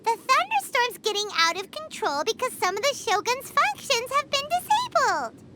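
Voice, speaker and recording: A woman voicing a tiny, excitable fairy companion in a game, with a squeaky, bouncy, eager delivery. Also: moderate pace, very noisy. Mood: excited. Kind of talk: game voice acting. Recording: game audio with loud music behind.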